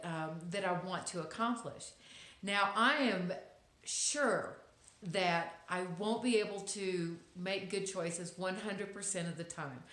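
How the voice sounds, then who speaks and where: A woman's voice: mid-range voice, fairly bright tone. A woman in her fifties with a Texas accent, giving a casual, reflective inspirational talk to camera with wry asides and frequent ums. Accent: Texan. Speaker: vlogger. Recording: echoey room.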